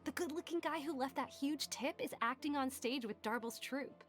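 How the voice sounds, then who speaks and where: A woman voicing a swooning, excitable young spectator in a video game, gushing as she talks. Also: high voice, normal speed, lightly acted. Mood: smitten, excited. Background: background music.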